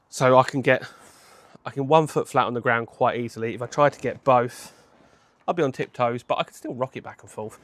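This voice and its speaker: A man, hoarse from a cold, chatting casually to camera outdoors on a home patio, rambling and correcting himself as he goes. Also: medium pitch. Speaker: motorcycle vlogger.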